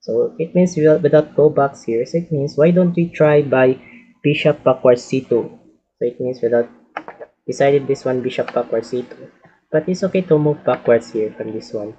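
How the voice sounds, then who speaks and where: Hoarse man, chess commentary, halting english, mellow dark voice, muffled lo-fi audio. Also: medium pitch. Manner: halting non-native English, repeats filler phrases. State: hoarse from a cough and cold. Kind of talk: game analysis commentary. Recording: dull lo-fi audio.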